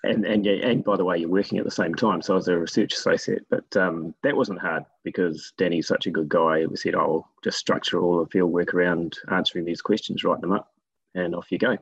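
A man with a New Zealand accent, a guest telling stories about his work in a relaxed, rambling way with many fillers. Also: low-pitched, brisk, gravelly, husky, lo-fi sound. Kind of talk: casual interview talk.

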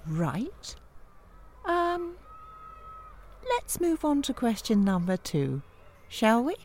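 An actress plays a prim woman running a job interview in a comic audio play. Her pitch moves up and down a great deal. She is formal and officious, with a strained politeness. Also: wildly swooping pitch, drawn-out words, a little mellow, a little hiss or hum, exaggerated voice. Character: prim, patient, increasingly strained female prison service interviewer.

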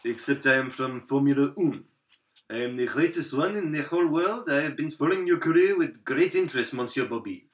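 A man's voice: fairly high pitch, lively intonation, brisk, natural voice. A man putting on a smug fake-French racer. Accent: put-on French. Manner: smug, haughty. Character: pompous French male race car driver.

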